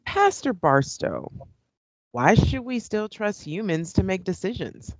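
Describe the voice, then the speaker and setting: A woman's voice: medium pitch, big pitch swings, a little slow, no echo, highs cut off. A woman playing a composed moderator presenting a broadcast debate in an audio play. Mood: composed, brisk. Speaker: debate show host. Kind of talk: radio play presenter acting.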